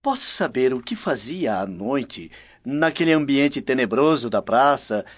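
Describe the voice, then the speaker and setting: Man acting a formal, inquisitive Roman gentleman, animated. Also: mid-range voice, a little slow. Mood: suspicious. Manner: formal, questioning. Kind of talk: radio drama acting. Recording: dull lo-fi audio.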